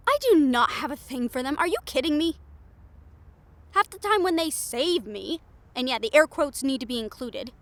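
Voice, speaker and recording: A woman's voice: high voice, bright, forceful tone, dry recording. A woman playing a bold, sarcastic, foul-mouthed reporter in an improvised audio roleplay, speaking in a candid, conversational way. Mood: exasperated, indignant. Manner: conversational, exasperated, candid, with sighs and swearing.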